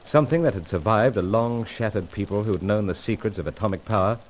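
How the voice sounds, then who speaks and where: An actor playing an unflappable British secret agent, with clipped, understated gentlemanly speech, in a vintage adventure radio serial. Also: male voice, fairly low pitch, fast talker, slight background noise, lightly acted. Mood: grim, suspenseful. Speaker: lead character and narrator. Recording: dull vintage radio sound.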